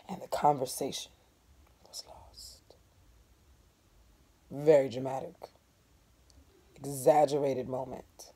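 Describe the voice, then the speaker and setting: A hoarse woman with a cold, reading a novel dramatically as a teacher, deliberate and emphatic, with instructive asides. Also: a bass voice, warm tone. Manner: deliberate, emphatic, pausing on key words.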